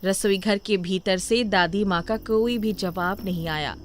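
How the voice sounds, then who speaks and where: A woman's voice: medium pitch, measured pace. A woman reading scripted narration for a moral story as its storyteller.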